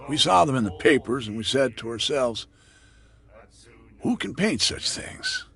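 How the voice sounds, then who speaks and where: A guarded island barkeeper, proud of the old days and cagey about rumors. His pitch rises and falls with a lively, animated swing as he spins his local tales. He sounds like a character in a narrative video game. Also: a little slow, vocal fry, heavily acted. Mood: wary. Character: gruff middle-aged male island barkeeper. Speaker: bartender.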